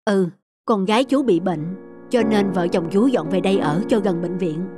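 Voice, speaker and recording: A man playing a middle-aged, gentle, worried father in a cartoon, speaking in a measured, downcast way. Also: high voice, music in the background, close to an everyday voice. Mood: polite, sad. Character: worried, gentle father of a gravely ill daughter. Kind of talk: cartoon dubbing.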